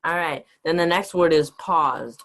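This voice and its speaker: A man's voice: on the high side, a little slow, gravelly, fairly bright tone. A man co-hosting a casual lesson, reading out words and chatting. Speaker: co-host reading vocabulary words.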